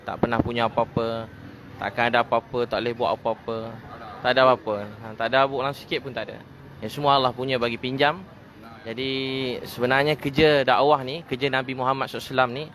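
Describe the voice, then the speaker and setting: A Malaysian man preaching informally at a quick clip, with a mid-range voice. His delivery is conversational, circling back on phrases and checking in with short tag questions. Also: medium pitch, a bit bright. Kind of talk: informal religious talk.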